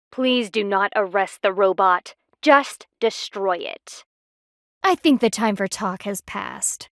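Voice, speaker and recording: A woman's voice: medium pitch, dry recording, studio quality, lightly acted. An actress voicing a stern, haughty female panda palace enforcer in a video game, formal, commanding and disdainful.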